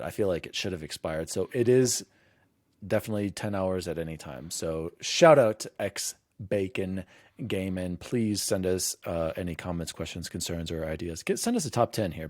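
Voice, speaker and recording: A man hosting a casual gaming podcast, chatting with excitable, jokey emphasis. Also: sits low, dry recording, studio quality. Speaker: podcast host.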